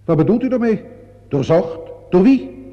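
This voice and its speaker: Outraged suspect, haughty, blustering, higher-set voice, animated delivery, reverberant muffled radio drama. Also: male voice, normal speed. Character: hot-tempered, haughty male murder suspect. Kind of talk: Dutch radio play acting. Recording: muffled, echoing old radio play recording.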